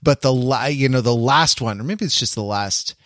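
Halting man in a dry-sounding room. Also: mid-range voice, a bit bright, no echo. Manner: halting, circling, repeats phrases. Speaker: podcast host. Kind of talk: casual pop culture chat.

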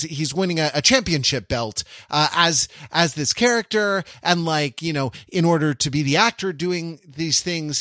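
A man's voice: fairly high pitch, fairly bright tone, dry recording, studio quality. A man co-hosting a podcast, talking in long rambling sentences with many restarts and laughing asides. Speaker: podcast co-host. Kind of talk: casual discussion.

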